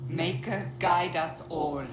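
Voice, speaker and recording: Grave, devout survivor in echoing room. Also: female voice, lowish voice, steady tone, slow, background noise. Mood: grieving, grave. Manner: grave, sorrowful, reverent. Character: despairing, devout woman survivor of a fallen world. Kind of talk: video game cutscene acting.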